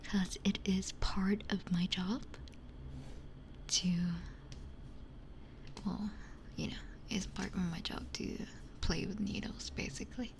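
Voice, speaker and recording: A woman whispering softly and unhurriedly in casual ASMR chat, musing in short phrases close to a binaural microphone. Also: medium pitch, steady tone, slow pace, long pauses. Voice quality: breathy whisper. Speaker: ASMR livestreamer. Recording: close-miked with faint background noise.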